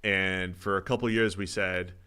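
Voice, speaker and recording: A man's voice: low-pitched, fairly even intonation, moderate pace, sharp tone, smooth. A man co-hosting a sports podcast, thinking aloud through football analysis in a loose, conversational way. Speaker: co-host. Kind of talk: casual sports analysis chat.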